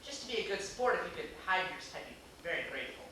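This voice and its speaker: A man playing a polite, anxious gentleman in an amateur stage comedy, hesitant and halting, with formal old-fashioned phrasing. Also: high-pitched, big pitch swings, full character voice. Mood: nervous, apologetic. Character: flustered, polite, anxious gentleman waiting for a masked date. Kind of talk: amateur stage acting in a comic one-act play. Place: small theater stage, recorded from the audience. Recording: echoey theater, distant camera mic, hissy noise.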